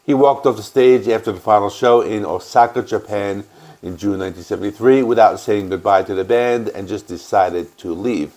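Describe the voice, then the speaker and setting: A man with a stuffy cold, hosting a music channel and calmly explaining an album's history in a casual, matter-of-fact way. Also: lowish voice. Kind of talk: casual record review and band history.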